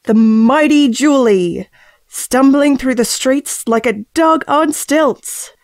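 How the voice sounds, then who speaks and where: A woman playing a tender, earnest expectant mother talking to her baby in a gentle, wry, musing way in an audio drama. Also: high voice, slow pace, dry recording, studio quality. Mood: wry, fond. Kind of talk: audio drama monologue, intimate voice message.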